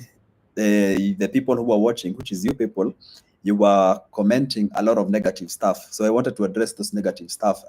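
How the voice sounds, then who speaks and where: Low-voiced man. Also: a little mellow. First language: Swahili. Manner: loose and chatty, many fillers, reads comments aloud. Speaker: vlogger hosting a live stream. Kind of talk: casual live-stream chat reading comments.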